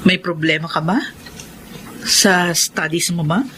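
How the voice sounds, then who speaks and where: A woman's voice: on the low side, fairly even intonation, unhurried, a bit bright, a little hiss or hum. An actress playing an attentive, worried middle-aged mother in a Filipino radio drama. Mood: concerned, motherly.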